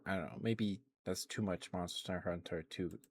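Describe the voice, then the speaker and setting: A man with a fairly low voice talks as a streamer during a casual ASMR session. He rambles informally, thinking out loud with hesitant, halting phrasing. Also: slight background noise.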